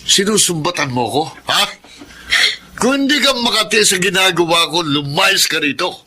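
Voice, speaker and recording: An actor playing a callous, hard-drinking, boastful boyfriend in a Filipino radio drama, brusque and cocky. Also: male voice, high voice, lively intonation, moderate pace, pushed and bright, exaggerated voice. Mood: irritated, bullying.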